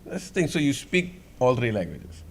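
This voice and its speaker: A man's voice: medium pitch, very animated, moderate pace, gravelly. A man interviewing a guest in a casual way, laughing often and repeating his phrases.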